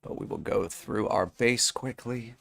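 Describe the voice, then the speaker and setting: A young adult man with a hoarse, fading voice, hosting a live card-opening stream in a chatty, upbeat way with lots of fillers. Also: medium pitch, unhurried, fairly bright tone. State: hoarse, losing his voice. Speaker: live card-break host. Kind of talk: livestream sales chat.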